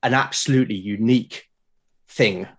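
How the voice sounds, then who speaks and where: Man, slightly low voice, husky, halting enthusiastic delivery, casual podcast conversation. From Britain. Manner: hesitant, repeats words, enthusiastic. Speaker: podcast guest, solo game podcaster.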